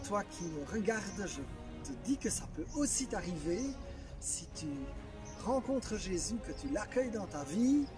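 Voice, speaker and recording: High-voiced man sharing testimony over music. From Belgium. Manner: expressive, conversational storytelling with rhetorical repetition. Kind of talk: testimony told to camera with acted-out moments.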